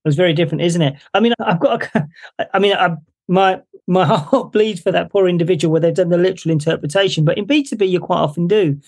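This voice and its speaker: High, gravelly-voiced chatty male host. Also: quick pace. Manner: rambling, self-correcting, laughs easily. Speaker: podcast host. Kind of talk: conversational interview.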